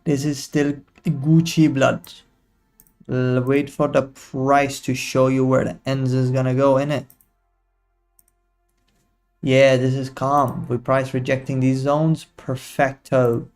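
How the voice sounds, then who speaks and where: A man's voice: mid-range voice, little pitch movement, takes it slowly, a little mellow, faint music behind. A young man hosting a live trading stream, chatting casually in slang and teasing his viewers. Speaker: livestream trading host. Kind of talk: casual live commentary to chat.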